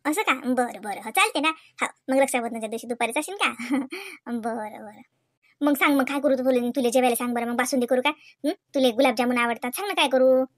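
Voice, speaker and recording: A woman's voice: sits high, brisk, dry recording, studio quality, close to an everyday voice. A woman playing a doting, chatty village mother in a Marathi comedy cartoon, with a rural Vidarbha accent, cooing in baby talk and teasing. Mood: caring, homely.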